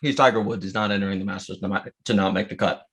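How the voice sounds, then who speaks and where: A man's voice: low-pitched, fairly even intonation, rapid-fire, vocal fry, a bit bright, slightly rough. A man co-hosting a sports podcast, chatting casually and offering opinions.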